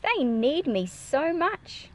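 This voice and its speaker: Gentle old pigeon lady, read by a woman, very lilting. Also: high-pitched, big pitch swings, slow pace, pitched above the performer's own voice, full character voice. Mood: wistful.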